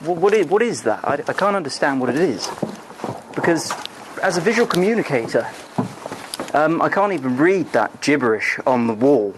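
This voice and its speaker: A man rants at a lecturer, hurling accusations in an angry, slightly high-pitched voice. The amateur recording carries clear background noise. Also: very animated, moderate pace. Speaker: student complaining about his grade. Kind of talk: heated confrontation in a lecture.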